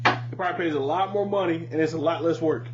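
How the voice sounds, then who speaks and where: A man's voice: on the high side, quick pace. A man hosting a podcast, chatting casually and bluntly about music with plenty of swearing. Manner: informal, profane, opinionated. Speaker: podcast host. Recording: muffled podcast audio with light hum.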